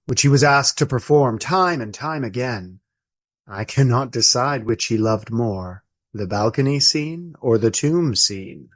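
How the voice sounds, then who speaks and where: A man playing an old friar in a radio play, speaking in formal, ornate, deliberate phrases with gallant fondness. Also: mid-range voice, lightly acted. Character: courtly old Trappist friar, fond, wry, gallant storyteller.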